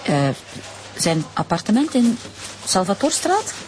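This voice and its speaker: A woman playing an anxious, deferential middle-aged shopkeeper mother, speaking halting, broken sentences in a radio drama. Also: a little high, sing-song, darkish tone, faint music behind. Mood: flustered, polite. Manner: halting broken grammar, apologetic. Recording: faint ambient noise.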